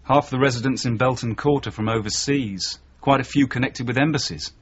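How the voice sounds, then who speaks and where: An actor playing an earnest, steady detective sergeant in a radio crime drama, measured and questioning in manner. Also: male voice, medium pitch, breathy edge, natural voice. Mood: thoughtful. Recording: muffled 1980s radio drama recording.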